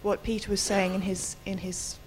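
A woman's voice: mid-range voice, flat delivery, moderate pace, a little mellow, slight background noise, poor audio. A woman in the audience at a public debate, musing aloud in an opinionated way. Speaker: audience member asking a question. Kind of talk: spontaneous question at a public debate.

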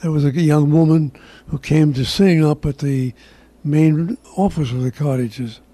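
A man's voice: fairly high pitch, steady tone, a little slow, dark tone, dry recording. A man in his nineties casually reminiscing as an interview guest. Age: 90s. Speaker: interview guest, amateur senior-circuit singer.

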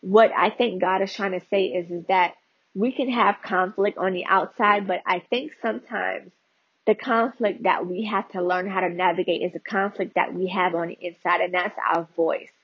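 A woman's voice: fairly low pitch, steady tone, moderate pace, husky, no echo, lo-fi sound. A woman podcast host giving a conversational devotional teaching, talking straight to her listeners with frequent "you know" and "right?" asides.

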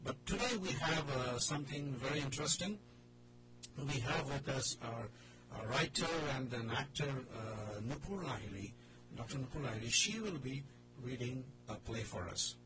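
A man casually hosting a drama club radio talk, halting as he goes. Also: medium pitch, lively intonation. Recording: loud noise and hum.

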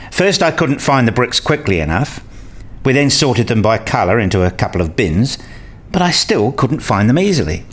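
Radio play lead, male actor as self-deprecating researcher dad, mid-pitched, very lively intonation, earnest and inquisitive. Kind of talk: scripted radio play dialogue and narration.

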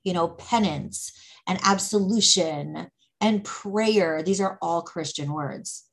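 A woman's voice: lowish voice, slow, rough recording. A woman teaching Torah, explaining ideas patiently in a conversational way with frequent restarts. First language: English.

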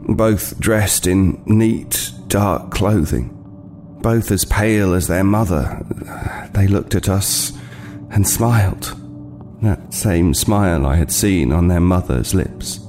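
A man's voice: sits low, a little mellow. An actor playing a weary, wary homeless man, hesitantly telling an unsettling tale in an audio play. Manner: hesitant, halting, haunted, with repeated words. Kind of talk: dramatic first-person storytelling in an audio play.

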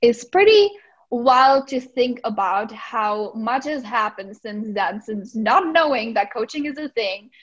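A woman with a Czech accent, a life coach and podcast guest, speaking excitedly and laughing often. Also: on the high side, unhurried, dull audio. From Czech Republic. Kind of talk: podcast interview.

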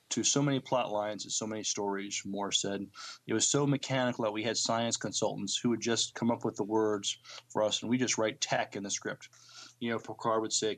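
A man co-hosting a podcast, reading out entertainment news and commenting in a somewhat halting, unpolished way. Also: fairly low pitch, a bit bright. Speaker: podcast co-host.

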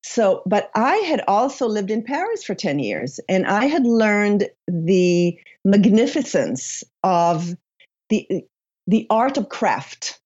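A woman's voice: mid-range voice, big pitch swings, slow, a little mellow, lo-fi sound. A woman in her fifties from Israel with a slight Israeli accent, a podcast guest who tells her story reflectively, with restarts and fillers. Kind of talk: podcast interview.

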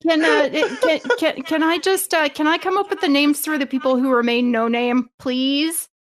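A woman with a fairly high voice who chats casually in a teasing, giggly way. She cracks jokes and pokes fun at the others. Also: fairly even intonation, moderate pace. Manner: teasing, joke-telling, giggly. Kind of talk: casual chat.

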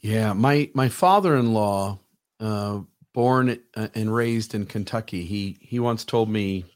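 A man's voice: lowish voice, slow pace. A man hosting a casual podcast chat, talking in a loose, conversational way with frequent restarts. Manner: conversational, restarts, quotes others animatedly.

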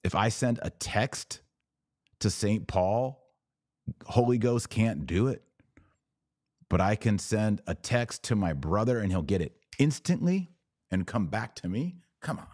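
Deep-voiced American man teaching, close dry sound. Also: expressive, a little slow, a little mellow, no room sound. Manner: emphatic, explanatory, asking rhetorical questions and answering them. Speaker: podcast host answering viewer comments. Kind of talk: informal religious teaching.

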